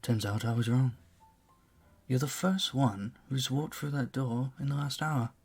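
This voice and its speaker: A man's voice: medium pitch, a little slow, gravelly, breathy edge. An actor playing a charming, flirtatious vampire bartender in an intimate audio roleplay, suave and teasing as he speaks to the listener. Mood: welcoming, smooth.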